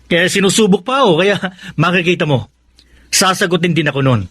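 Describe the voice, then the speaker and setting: A man playing a vain, boastful young womanizer in a Tagalog radio drama, breezy and quick to laugh off criticism. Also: high voice, fairly bright tone. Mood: cocky, scoffing. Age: young adult.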